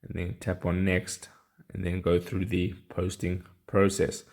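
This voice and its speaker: Low, creaky male tutorial presenter. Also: completely flat delivery, unhurried, darkish tone. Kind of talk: casual step-by-step how-to narration.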